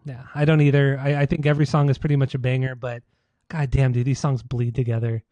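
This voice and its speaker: A man with an American accent talks casually as a podcast co-host. His voice is mid-pitched and soft-edged, with a dark, relaxed tone. He speaks in slangy, informal language with some swearing, in a dry recording with no room sound. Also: fast talker, no room sound, highs cut off. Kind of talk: casual music discussion.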